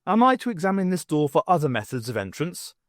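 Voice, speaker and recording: A man's voice: on the high side, very animated, fast, no room sound. A man reading as a dutiful alien servitor in a storybook. The delivery is stilted and formal, like an eager underling reporting, with a dry sound. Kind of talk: audiobook character voice.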